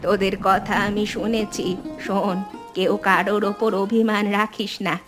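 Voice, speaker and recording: Woman voicing a measured elderly village grandmother, music behind. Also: medium pitch, flat delivery, natural voice. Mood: consoling. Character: elderly village mother and grandmother, patient, wise peacemaker. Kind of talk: animated family drama dialogue.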